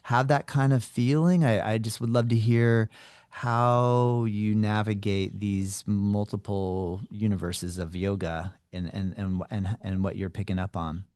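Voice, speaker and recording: A man's voice: on the low side, steady tone, measured pace, a little mellow, no room sound, studio quality. A man hosting a podcast, asking curious, rambling questions on a video call. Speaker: podcast host. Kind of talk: casual interview conversation.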